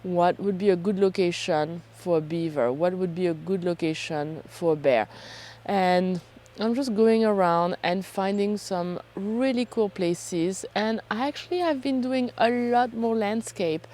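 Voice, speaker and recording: A woman, hoarse from a cold, talking casually and chattily to camera as a self-deprecating vlog host. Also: medium pitch, measured pace, mellow. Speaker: photography vlogger and host.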